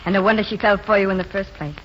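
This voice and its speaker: An actress playing an earnest, plainspoken, yearning young waitress in a 1940s radio drama. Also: female voice, medium pitch, fairly even intonation, rapid-fire, fairly bright tone, slight background noise, close to an everyday voice. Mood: blunt, curious. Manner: frank, plainspoken, eager. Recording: dull 1940s radio broadcast with music.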